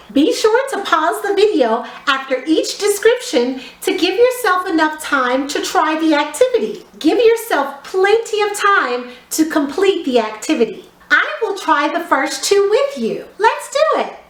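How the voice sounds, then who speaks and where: High female voice, unhurried pace, drama teacher, expressive and encouraging, lesson for small kids, echoey room. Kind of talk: instructional video lesson for young children. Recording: roomy, echoey sound.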